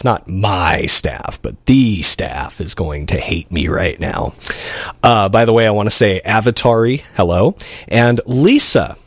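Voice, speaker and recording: A man's voice: lowish voice, dry recording. A man hosting a live radio show, chatting casually and rambling off into asides as he introduces things. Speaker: radio host and DJ.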